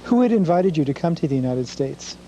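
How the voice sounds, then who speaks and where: A male interviewer puts short, direct questions in a brisk rhythm. His voice is very dark and mellow. A faint hiss lies underneath. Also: on the high side, very animated, rough recording.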